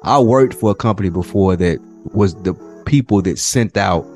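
A man's voice: fairly low pitch, normal speed, darkish tone, soft background music. An American man co-hosting a podcast, chatting casually with slangy, sweary questions and teasing remarks. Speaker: podcast co-host. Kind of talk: casual chat, asking questions.

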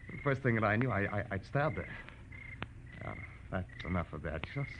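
An actor playing a greedy, desperate blackmailing killer in a radio drama, insistent and menacing yet faltering. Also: male voice, fairly low pitch, animated, normal speed, close to an everyday voice. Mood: agitated confession. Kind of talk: 1940s radio acting. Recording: muffled, noisy old radio recording.

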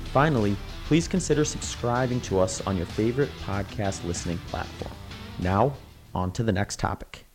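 A man's voice: lowish voice, expressive, normal speed. A man reading a scripted podcast introduction as the announcer. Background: guitar music.

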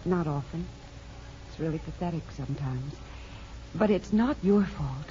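A woman's voice: deep voice, expressive, measured pace, mellow, a little hiss or hum. An American woman playing a sympathetic, candid married aunt, speaking expressively and confidingly in 1950s radio drama style. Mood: sympathetic, rueful. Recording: muffled old radio broadcast.

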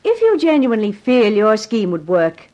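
An actress playing a cool, brisk young company boss in a 1950s radio drama, formal and businesslike. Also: female voice, mid-range voice, wildly swooping pitch, mellow, highs cut off. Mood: firm, decisive. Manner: businesslike, commanding, crisply formal.

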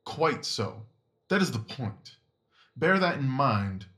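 A man playing a brisk, commanding, observant gentleman detective in an audio drama, with clipped, formal, instructive phrasing. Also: mid-range voice, sing-song. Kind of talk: audio drama acting of Victorian story.

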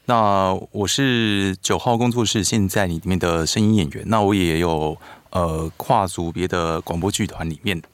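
A man's voice: low voice, moderate pace, hardly any pauses, no echo. A man, a voice actor chatting casually as a podcast guest. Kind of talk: casual self-introduction and chat.

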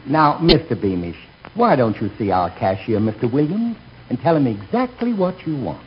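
An actor playing a polite, apologetic bank teller with a soothing manner in a 1940s radio comedy. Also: male voice, medium pitch, sing-song, moderate pace, natural voice. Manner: courteous, soothing. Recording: dull, noisy 1940s radio recording.

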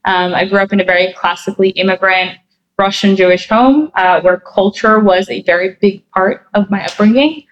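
A woman's voice: medium pitch, normal speed, lo-fi sound. A woman from New Jersey answering interview questions, thoughtful and chatty, with many fillers and restarts. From New Jersey, USA. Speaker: guest.

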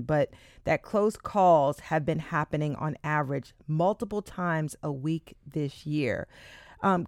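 A woman's voice: low-pitched, darkish tone, dry recording. Woman host, dry close sound, posing interview questions.

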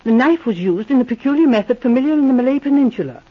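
A proper, earnest young woman secret agent in a 1940s radio drama, her voice a touch husky and full of lively rises and falls. She talks briskly and a little primly. It all comes through a dull, lo-fi old radio transcription. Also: high voice. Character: Miss Guest, sharp-minded young woman secret agent.

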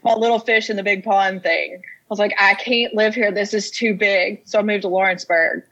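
A young woman's mid-pitched voice with a Kentucky accent, a little muffled by lo-fi recording. She talks as an interview guest, telling her own story in a plain-spoken, unpretentious, conversational way. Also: steady tone, normal speed, a bit bright. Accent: American, Kentucky. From Casey County, Kentucky, United States. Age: young adult. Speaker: interview guest, whiskey industry professional.

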